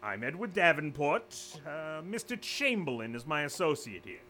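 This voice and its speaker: An actor playing a smooth male corporate official who speaks with false politeness and quiet threat. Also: a little high, big pitch swings, normal speed, loud music behind the voice. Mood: smooth menace. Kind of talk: audio drama acting.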